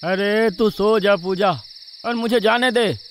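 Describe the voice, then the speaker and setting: A man's voice: high voice, slow pace, a little hiss or hum. A man playing a stubborn, worried village farmer who argues and exclaims in a horror cartoon story. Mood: stubborn, irritated.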